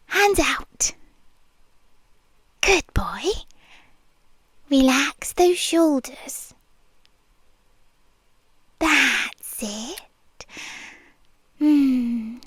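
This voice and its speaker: A sweetly controlling young nurse, voiced by a woman with a British accent murmurs and whispers right against the mic. Her voice sits high and light, and she drags every word out with syrupy slowness. The sound is dry and close, with no room around it. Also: no room sound, full character voice. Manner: slow, intimate, teasing purr with frequent whispers. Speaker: ASMR roleplay creator. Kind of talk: ASMR roleplay, soft-spoken and whispered.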